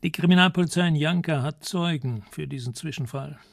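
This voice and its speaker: Stern middle-aged magistrate for radio crime drama: higher-set voice, creaky, curt, commanding. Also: male voice, a little fast, no echo, clean studio sound. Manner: curt, authoritative, interrogating.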